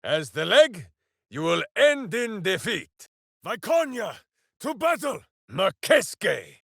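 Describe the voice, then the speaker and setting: A huge, righteous, simple-hearted warrior from a fantasy video game. His delivery is slow and bombastic, with enormous swings in pitch. He declaims quaint phrases boisterously, in a very dry, close recording. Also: big pitch swings, vocal fry, fairly bright tone, no echo, heavily acted. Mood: rousing, bellowing. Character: big brawny good-hearted warrior, boisterous, simple, righteous, devoted to hamster. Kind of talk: video game character voice lines.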